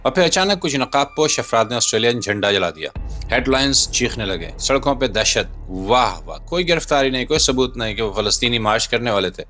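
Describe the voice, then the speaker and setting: A man's voice: medium pitch, expressive, a little fast, pushed and bright. A man delivering a scripted political commentary voice-over in a dramatic, rhetorical, emphatic manner. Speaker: video commentator and narrator. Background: music bed.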